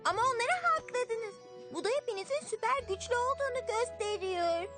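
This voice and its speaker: An actor voicing an excitable, eager little child rabbit in a children's cartoon dubbed in Turkish. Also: very high voice, fairly quick. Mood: eager, admiring. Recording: loud cartoon music behind the voice. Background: music.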